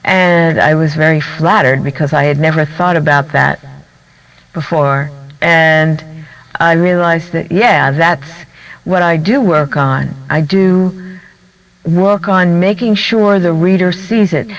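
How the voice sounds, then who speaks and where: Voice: medium pitch, fairly even intonation, slow, fairly bright tone, poor audio. A poet speaking thoughtfully in an interview, explaining how writing and performance work. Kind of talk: interview talk on writing poetry.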